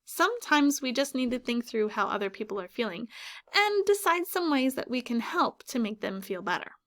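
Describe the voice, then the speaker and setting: A woman teacher reading a children's picture book aloud, pausing to ask her listeners questions about the story. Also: on the high side, expressive, fairly bright tone.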